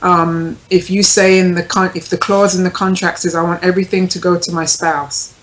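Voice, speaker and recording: A woman's voice: lowish voice, little pitch movement, normal speed, low-quality recording. A woman talking informally on a discussion panel, explaining things in a careful way. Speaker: talk show panelist.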